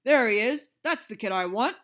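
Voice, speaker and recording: A big, blustering boss man bellows his demands in a storybook reading, put on by a woman reader. His voice is loud and bossy, rising and swooping as he insists. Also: mid-range voice, lively intonation, fairly quick, natural voice. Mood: shouting. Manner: shouting, bossy. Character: big loud blustering male company president.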